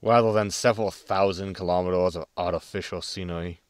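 An actor playing a blunt, impatient spaceship captain in a sci-fi comedy audio drama, talking casually. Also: male voice, sits low, steady tone, a little fast, no room sound, clean studio sound, lightly acted. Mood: laid-back.

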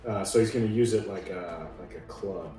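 A man with a fairly low voice talks casually as a tabletop game player. He is hesitant, thinking aloud, and sounds far from the mic in heavy room echo. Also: a little fast, warm tone, soft background music.

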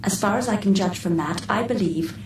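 A poised, playfully sly female artificial intelligence, acted by a woman in a radio play. She speaks in formal, exact, measured phrases. The sound is roomy and a little distant, with clear noise behind her. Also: mid-range voice, close to an everyday voice. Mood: precise, detached. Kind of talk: radio drama acting.

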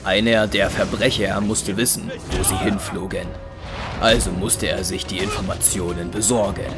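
A man's voice: sits low, fairly even intonation, moderate pace, over loud music. A man narrating an action audio drama from a script.

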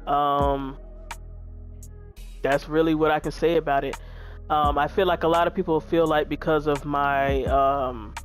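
A woman in her twenties with a deep voice, chatting candidly to her viewers while she plays a video game. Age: 20s. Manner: frank, chatty, talks straight to viewers. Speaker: gaming YouTuber.

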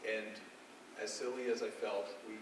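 Heard from far off through clear noise, this is an earnest, humbled fisherman apostle played by a man on stage. His low voice delivers a dramatic monologue haltingly, pausing to reflect and then leaning hard on his words. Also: little pitch movement, close to an everyday voice. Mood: awed, reverent. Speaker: stage actor. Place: dark theatre stage, recorded from the audience. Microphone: far camera. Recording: distant, echoey, noisy stage recording.

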